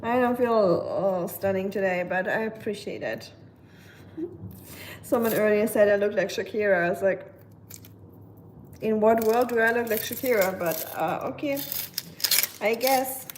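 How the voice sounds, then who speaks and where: A woman's voice: medium pitch, a little slow, long pauses. A young woman from Germany with a German accent, chatting casually with live viewers while she cooks, joking in a dry, sarcastic way. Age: young adult. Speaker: cooking streamer. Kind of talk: casual live-stream chat while cooking. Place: home kitchen. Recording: knife chopping noise behind.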